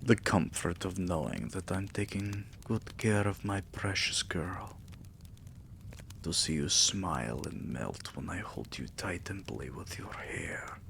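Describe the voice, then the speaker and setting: A man playing a tender, possessive vampire king in an intimate ASMR roleplay, murmuring soothingly and affectionately in a breathy, gravelly half-whisper. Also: low-pitched, slow, fairly bright tone, a little hiss or hum, exaggerated voice. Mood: tender, adoring.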